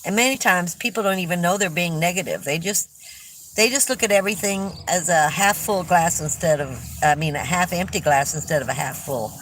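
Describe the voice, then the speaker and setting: An older woman with a low, loud voice chats casually on a livestream. She reads viewer comments and tells long stories, often restarting her sentences. A faint hiss of noise sits under her voice. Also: brisk, rough recording. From California. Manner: chatty, warm storytelling with restarts. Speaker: livestream host.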